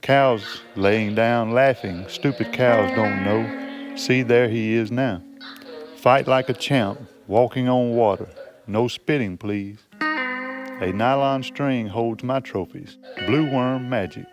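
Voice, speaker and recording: A man's voice: mid-range voice, slow pace. A plainspoken, understated man sharing personal writing and thoughts as a poetry student. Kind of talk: halting personal talk and plain poem reading. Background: guitar music behind the voice.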